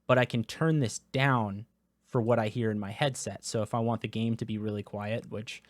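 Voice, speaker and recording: A man casually walking through software settings in a product review, talking into a close dynamic USB microphone. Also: on the low side, steady tone, moderate pace, no room sound. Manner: casual, explanatory, with filler words. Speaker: tech reviewer.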